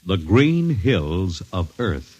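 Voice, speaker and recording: Low, portentous 1950s radio announcer. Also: male voice, big pitch swings, muffled recording, full character voice. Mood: grand, portentous. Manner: grand, portentous, deliberate. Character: solemn announcer of a science fiction radio series. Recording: old 1950s radio broadcast with music.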